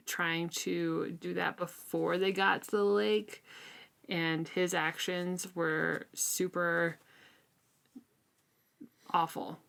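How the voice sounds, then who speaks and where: A woman with a voice a little lower than most speaks informally. She talks in everyday, colloquial language, as a co-host discussing a book would. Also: vocal fry, fairly bright tone. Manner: colloquial, conversational. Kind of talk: casual chat recapping and reviewing a fantasy novel.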